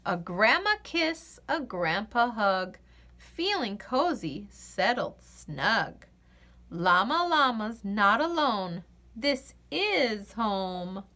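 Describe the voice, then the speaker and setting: A woman reading a children's picture book aloud in an expressive, sing-song way. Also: medium pitch, extremely slow. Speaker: children's story reader.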